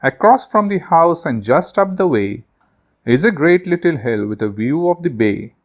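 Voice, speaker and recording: A man hosting a children's read-aloud, telling a storybook in an animated, theatrical way. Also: fairly high pitch, normal speed.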